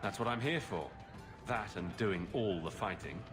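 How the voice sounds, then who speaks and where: A man's voice: fairly low pitch, moderate pace, over music, close to an everyday voice. A man playing a sardonic champion hero in a video game, with a dry, teasing manner.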